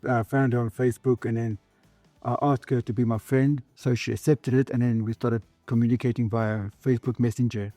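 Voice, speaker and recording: South African man, mellow easygoing voice. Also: medium pitch, flat delivery, brisk, no echo, soft background music. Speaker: interviewee. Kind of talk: documentary interview.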